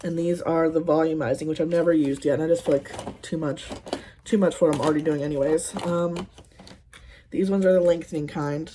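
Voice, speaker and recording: Sarcastic woman in her thirties, low-voiced. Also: fairly even intonation, fairly quick, background noise. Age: 30s. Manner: sarcastic, exasperated storytelling; rambling with frequent ums. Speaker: beauty vlogger. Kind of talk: casual get-ready-with-me chat.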